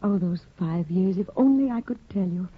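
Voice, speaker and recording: An actress playing a frightened, pleading young wife who stammers anxiously, in a 1950s radio drama. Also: female voice, lowish voice, animated, normal speed, husky. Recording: tinny, dry 1950 radio broadcast.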